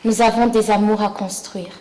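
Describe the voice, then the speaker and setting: A woman reciting solemn poetry with measured, earnest phrasing. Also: medium pitch, fairly even intonation, darkish tone, rough recording. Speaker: poetry reciter at a commemoration ceremony. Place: ceremony in a city hall.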